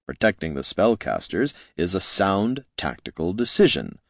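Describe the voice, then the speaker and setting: A man voicing a cool, superior wizard with a measured, condescending manner in a fantasy storybook. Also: sits low, big pitch swings, gravelly, fairly bright tone, no room sound, studio quality, full character voice.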